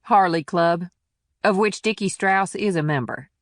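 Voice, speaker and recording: A man's voice: sits high, unhurried, dry recording, voice pushed up. A firm small-town policewoman, acted out by a male narrator in a crime audiobook. The delivery is plainspoken and matter-of-fact, with a protective edge toward the locals. The sound is dry, with very little room. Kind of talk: audiobook character dialogue.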